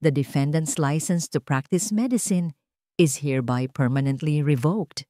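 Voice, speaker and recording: Grave judge played by a woman narrator, formal verdict delivery, low register, dry recording. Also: dark tone, no echo, natural voice. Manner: formal, measured. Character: solemn courtroom judge pronouncing a verdict.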